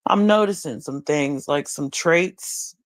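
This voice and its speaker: A woman hosting a late-night livestream, talking bluntly and profanely, musing slowly with many restarts while high. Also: no echo. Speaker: livestream host. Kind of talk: late-night casual commentary. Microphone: streaming mic.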